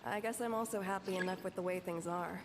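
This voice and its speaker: A woman voicing a gentle, contented young townswoman in a video game, musing softly. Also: lowish voice, fairly even intonation, quick pace, noisy background, close to an everyday voice. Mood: calm musing.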